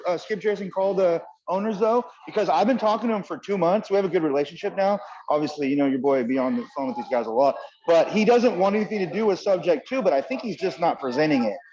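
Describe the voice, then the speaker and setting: A quick, talkative man joins the video call, his voice pitched a bit high. He speaks casually and loosely, and his audio comes through dull and lo-fi. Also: darkish tone. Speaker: real estate business partner.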